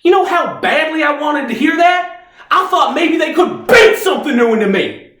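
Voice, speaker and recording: Man acting a defiant, high-strung young soldier, echoey room. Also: very animated, moderate pace. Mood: anguished, angry. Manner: cocky, agitated, emphatic. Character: young Texas army private, defiant, unstable, bitter. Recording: echoey room, distant microphone.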